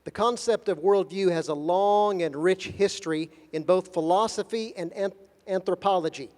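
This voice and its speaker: A man giving a formal conference lecture in measured, deliberate phrases with gentle humor, pausing for an interpreter. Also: high-pitched. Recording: long hall reverb.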